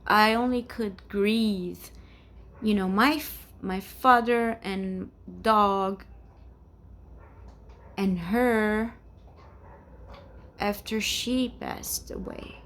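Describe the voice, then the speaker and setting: A woman in her forties from Brazil, speaking English with a Brazilian Portuguese accent and a halting, hesitant way of searching for words, in a rambling personal vlog recorded at home. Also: mid-range voice, fairly even intonation, fairly bright tone. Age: 40s. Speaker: vlogger. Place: home, indoors.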